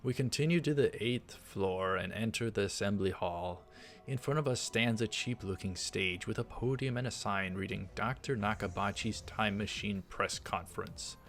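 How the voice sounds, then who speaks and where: A man's voice: fairly low pitch, moderate pace, few pauses. A man hosting a let's play, reading a visual novel aloud and adding casual, hesitant, rambling asides. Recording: faint music behind. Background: visual novel background music.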